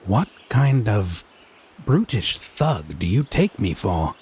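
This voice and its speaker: A man playing a haughty, teasing, possessive fae in a scripted audio roleplay, speaking in wry, theatrical, deliberate phrases. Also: on the low side, sing-song, darkish tone, breathy edge, heavily acted. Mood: teasing, indignant.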